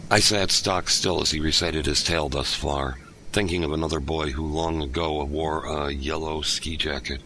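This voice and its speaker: An actor playing a grieving father haunted by his lost son in a radio drama, speaking gruffly with probing questions. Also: very deep voice, fairly even intonation, moderate pace, bright, forceful tone, slight background noise, exaggerated voice, poor audio. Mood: reflective. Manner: gruff, questioning, breaks into anguished outbursts. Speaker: narrator and lead character.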